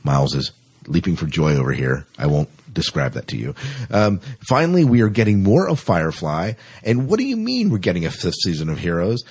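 A man with a low, husky voice hosts a podcast in a casual, chatty way. His pitch moves around a lot, giving him an animated, playful delivery. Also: fairly quick. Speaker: podcast host. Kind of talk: casual, chatty science fiction podcast hosting.

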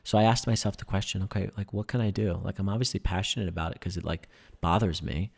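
A man with a low, gravelly voice, talking eagerly on a podcast. He explains things at length, with plenty of ums and uhs. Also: steady tone, fast talker, a little mellow. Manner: enthusiastic, explanatory, many fillers. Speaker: podcast guest, breathing workshop instructor. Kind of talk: casual podcast conversation.

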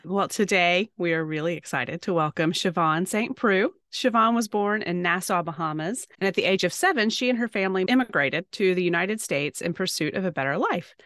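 Female podcast co-host, lower register, close dry sound, conversational delivery. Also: brisk, hardly any pauses, a bit bright, dry recording.

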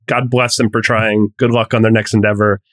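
A man's voice: lowish voice, little pitch movement, a little fast, fairly bright tone, no echo. A man guesting on a casual panel podcast as a startup founder, blunt and emphatic, swearing freely.

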